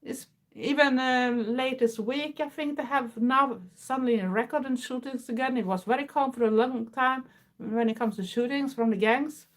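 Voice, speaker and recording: A woman's voice: sits high, normal speed. A Swedish woman in her fifties with a Swedish accent, chatting earnestly to her viewers in English with small grammar slips. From Sweden. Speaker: livestream host. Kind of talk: casual chat.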